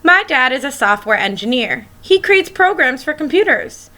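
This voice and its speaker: A woman's voice: high voice, sing-song, moderate pace, pushed and bright. A woman schoolteacher reading a children's picture book aloud, expressively and as if speaking directly to young listeners.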